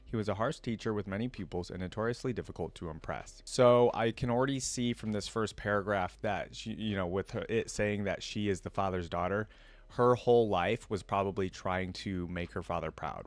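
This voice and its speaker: Low-voiced man reacting and reading aloud. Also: fairly quick, no echo. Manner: chatty, reacts aloud with interjections. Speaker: host of a reaction channel. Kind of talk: casual reaction commentary mixed with reading lore aloud.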